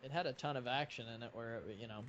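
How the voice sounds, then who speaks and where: A man's voice: medium pitch, fast talker, fairly bright tone. A man chats casually as a live stream host, rambling and feeling his way through his thoughts. The sound is somewhat muffled, with light noise behind him. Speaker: live stream host of a fan dubbing project. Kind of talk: casual chat. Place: online voice-chat live stream.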